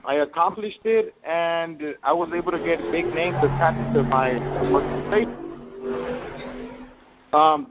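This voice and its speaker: A man in his twenties giving a casual personal account on a group call, halting and slangy as he goes. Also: fairly high pitch. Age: 20s. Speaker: call guest, young entrepreneur. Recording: muffled noisy conference call.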